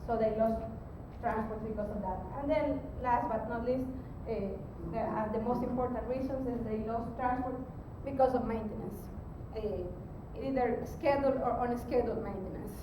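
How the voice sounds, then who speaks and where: A woman giving an explanatory technical presentation as a conference speaker, pausing hesitantly mid-sentence. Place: conference room, recorded from a distance. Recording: very echoey, distant mic, rumbling background noise.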